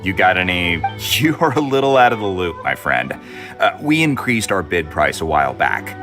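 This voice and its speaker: A wry, genial middle-aged official in a video game. His lowish voice moves in lively swings and banters familiarly, with music playing behind. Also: moderate pace. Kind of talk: scripted video game dialogue.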